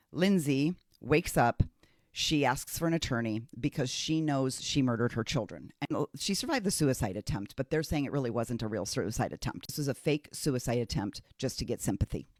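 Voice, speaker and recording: A man's voice: medium pitch, expressive, moderate pace, dry recording. Man giving emphatic, dry-sounding trial commentary. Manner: emphatic, conversational, repeats phrases for stress. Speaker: true crime commentator. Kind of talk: casual opinionated trial commentary.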